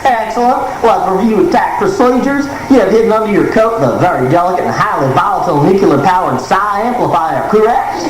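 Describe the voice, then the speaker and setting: Villain headquarters operator, acted by a woman, officious, animated, very echoey cassette with music, comedy radio play. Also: mid-range voice, fairly quick, lightly acted.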